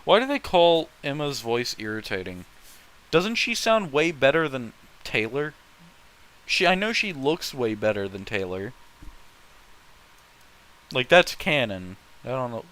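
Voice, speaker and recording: A man's voice: medium pitch, very animated, a little slow, long pauses, fairly bright tone, low-quality recording. A man reading fan fiction aloud and adding casual, blunt, opinionated commentary with heavy swearing.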